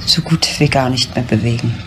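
Hesitant, subdued young daughter. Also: female voice, rumbling low, fairly quick, noisy background. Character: subdued, hesitant grown daughter of a dead drinker. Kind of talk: naturalistic crime drama dialogue.

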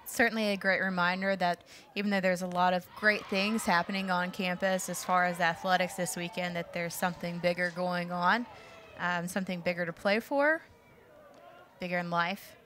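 Bright-voiced female color commentator. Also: on the low side, fairly even intonation, moderate pace, slight background noise. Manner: conversational analysis with casual fillers.

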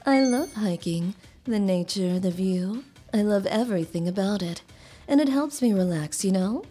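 A woman playing a polite, soft-spoken and hesitant lodge keeper in her early thirties in a manga dub. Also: medium pitch, expressive, normal speed, slightly rough, faint music behind. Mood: friendly, wistful.

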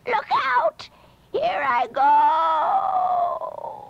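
A man voicing a cunning, cruel old witch, drawling his lines slowly in a storybook reading. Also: extremely high pitch, lively intonation, muffled recording, pitched above the performer's own voice, exaggerated voice. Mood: wailing. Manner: slow drawn-out cackling delivery.

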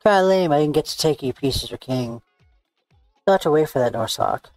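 A man's voice: on the high side, animated, fairly quick, darkish tone, no room sound, soft background music. A man voicing a blunt, teasing schoolgirl chess opponent in a visual novel, casual and dismissive. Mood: unimpressed, teasing. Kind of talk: reading visual novel dialogue aloud.

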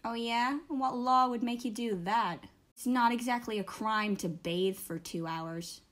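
A woman's voice: fairly high pitch, a little slow, fairly bright tone. Woman doing a cheeky dramatic teen girl, kinda dreamy and moody, comic fandub style. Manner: cheeky, dramatic, musing to herself. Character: sarcastic, dramatic thirteen-year-old girl, daydreamy and moody.

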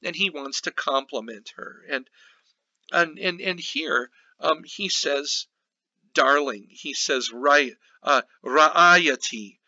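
A male pastor giving an informal Bible study commentary in a halting, reflective teaching manner. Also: a little high, bright, forceful tone, dry recording, lo-fi sound.

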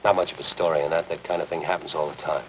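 A hard-boiled, world-weary newspaper reporter, low-voiced and dry. He talks with sardonic persistence in 1950s noir style, over the faint noise of an old film soundtrack. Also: fairly even intonation, rapid-fire. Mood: wry, world-weary. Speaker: actor playing a newspaper reporter. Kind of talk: 1950s film noir television drama acting.

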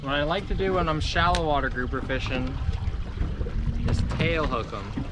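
A man's voice: on the high side, animated, normal speed, background noise. A young man hosting a fishing vlog, chatting upbeat about his gear and spots from an open boat. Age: young adult. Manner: upbeat and chatty, talking through tackle and gear. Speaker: fishing channel host. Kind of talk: casual fishing vlog narration. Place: open boat on the sea and a room indoors.

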